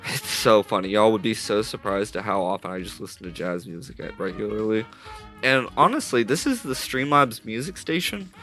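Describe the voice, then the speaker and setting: A man's voice: lowish voice, animated, normal speed. A man streaming live as he builds a game model, chatting casually and rambling with constant self-corrections, his voice a little stuffy through a headset mic. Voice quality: slightly stuffy. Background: soft jazz music playing.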